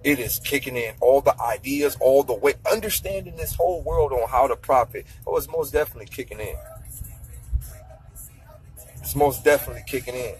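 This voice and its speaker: A man's voice: medium pitch, fairly quick, darkish tone, soft background music, low-quality recording. A man in his thirties, ranting casually to camera with blunt, profane money advice and lots of repetition. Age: 30s. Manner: blunt, profane, lecturing, repeats himself for emphasis. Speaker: vlogger giving money advice. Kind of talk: casual motivational rant to camera.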